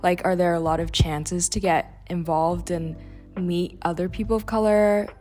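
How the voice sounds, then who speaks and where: Low-pitched young Canadian woman hosting. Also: fairly even intonation, moderate pace, darkish tone, faint music behind. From Vancouver, Canada. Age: young adult. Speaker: student podcast host. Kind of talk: conversational podcast hosting.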